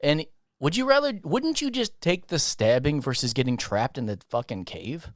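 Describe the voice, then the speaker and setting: A young man co-hosting a podcast, chatting in a crude, joking way and breaking into silly voices and loud laughter. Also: fairly high pitch, lively intonation, normal speed, no room sound, clean studio sound. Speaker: podcast co-host. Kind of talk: casual comedic chat.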